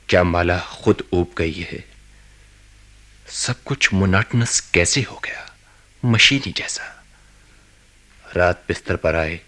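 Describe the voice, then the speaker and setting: A man acting a brooding, restless husband in a radio drama, in a measured, introspective and hesitant manner. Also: sits low, a bit bright. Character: brooding, restless, bored husband confiding in his diary.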